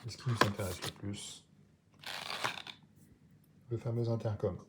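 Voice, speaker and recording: A man's voice: on the low side, steady tone, measured pace, heavy background noise. A man presenting a product unboxing, explaining each item step by step. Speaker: motorcycle gear video creator.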